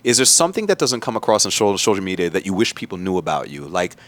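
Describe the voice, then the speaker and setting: A man's voice: low-pitched, very animated, racing. A man hosting a casual radio interview, playful and jokey as he asks his questions.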